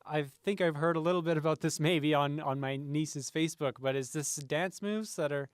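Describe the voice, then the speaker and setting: A man playing a polite, folksy radio show host who talks in a halting, mildly bewildered way. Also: fairly high pitch, smooth, dry recording, studio quality, natural voice. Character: folksy adult male late-night radio show host. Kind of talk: comedic radio show hosting.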